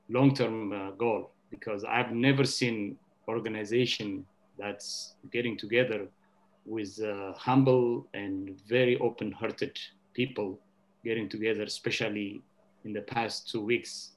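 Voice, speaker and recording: A middle-aged or older man from Ethiopia speaking off the cuff as an interview guest, halting and hesitant, with long pauses. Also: lowish voice. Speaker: diaspora organization member, interview guest. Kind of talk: unscripted interview talk.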